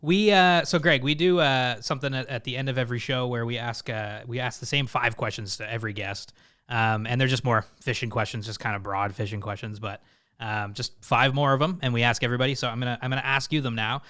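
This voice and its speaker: A man's voice: mid-range voice, fairly even intonation, fairly quick, pushed and bright, clear, resonant tone. A man hosting a casual fishing podcast, reacting enthusiastically and asking questions. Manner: enthusiastic, frequent "amazing" reactions. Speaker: podcast host. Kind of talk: casual chat.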